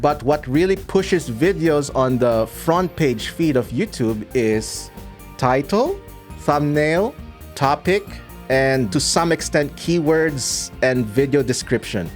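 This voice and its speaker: Man from the Philippines, Tagalog native, dry measured creator talk, animated delivery. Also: fairly high pitch, faint music behind. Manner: dry, measured, pauses edited out. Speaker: YouTube creator answering comments. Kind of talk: casual commentary to camera.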